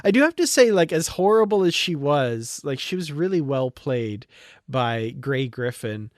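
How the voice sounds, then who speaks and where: A man's voice: medium pitch, expressive, normal speed, no echo, studio quality. A man co-hosting a podcast, talking through a review in a casual, conversational way. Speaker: podcast co-host.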